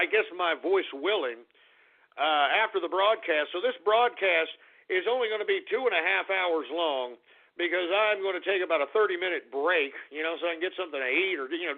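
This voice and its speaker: Hoarse, gravelly internet radio host. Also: male voice, sits high. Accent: Texan. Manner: rambling, folksy, repeats "folks". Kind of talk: casual call-in radio monologue. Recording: tinny phone-quality internet radio.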